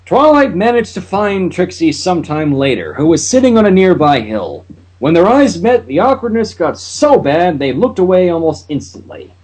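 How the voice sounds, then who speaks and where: A man reading fan fiction aloud in an expressive, giggly way, with character voices and asides. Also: medium pitch, moderate pace. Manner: expressive, giggly, stumbles and breaks off.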